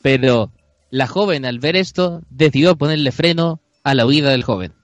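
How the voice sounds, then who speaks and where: A man's voice: medium pitch, normal speed, dry recording. A man with a Chilean accent narrating an improvised radio play in a mock-serious storytelling style.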